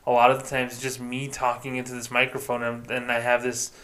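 A man hosting a solo podcast, talking casually in a self-described monotone and swearing freely. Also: medium pitch, brisk. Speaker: podcast host.

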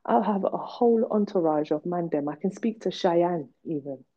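A low-voiced woman speaks with blunt, emphatic force, repeating words to drive her point home. Her tone stays candid and personal, and the recording sounds dull and lo-fi. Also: moderate pace, warm tone. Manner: emphatic, blunt, repetitive for stress. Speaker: podcast host. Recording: dull lo-fi podcast audio.

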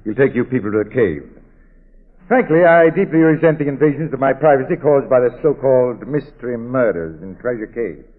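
Actor as curt, haughty rich gentleman, tinny radio. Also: medium pitch, animated, fairly quick, close to an everyday voice. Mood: curt, resentful. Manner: formal, clipped, cold. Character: curt, haughty wealthy retired broker, man. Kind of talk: 1940s radio drama acting. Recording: tinny old radio broadcast recording.

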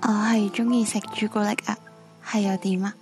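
A woman's voice: mid-range voice, level tone, a little fast, music in the background, close to an everyday voice. A woman with a Hong Kong Cantonese accent playing a clingy, possessive schoolgirl ghost in a radio drama. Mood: coy, pouting.

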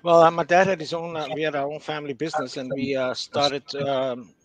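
A middle-aged man from Belgium speaks over a video call, his voice sitting a little high for a man. He talks in an easygoing, halting way, full of "uh" and "um", as a guest in a casual interview. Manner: relaxed, hesitant with many fillers.

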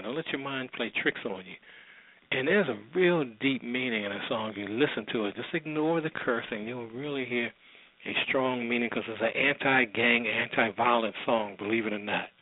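A man's voice: mid-range voice, fairly quick, no room sound. A male radio host talks casually and informally, circling back as he introduces old programs. The sound is very close and dry, with a narrow, phone-like band.

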